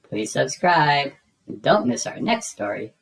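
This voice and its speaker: Woman narrator for a children's read-aloud, low, slow, very expressive. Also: creaky, rough recording.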